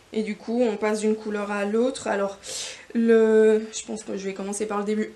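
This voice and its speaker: A woman's voice: mid-range voice, darkish tone. Young Spanish-born woman, non-native speaker, casual podcast host, rambling with fillers, camera mic in a shop. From Spain. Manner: rambling, many fillers and restarts, gesturing with hands. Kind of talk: casual video podcast chat. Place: yarn shop in front of shelves.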